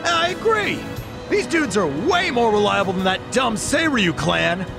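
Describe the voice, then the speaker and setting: Game bit part: excitable townsman, high lively pitch, scornful, over music. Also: a little slow, vocal fry, heavily acted. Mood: excited, scornful. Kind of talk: English video game voice acting.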